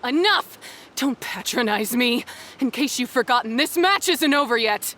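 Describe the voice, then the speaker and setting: A woman's voice: a little high, animated, normal speed, pushed and bright, a little hiss or hum. A woman voicing a proud, bitter young beast tamer in a video game, curt and defiant in a dramatic way. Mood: angry defiance.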